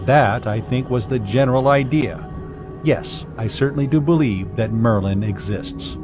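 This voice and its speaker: A man voicing an educated, earnest man arguing his belief in measured, articulate words, over music. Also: medium pitch, natural voice. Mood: earnest, insistent. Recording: music behind the voice.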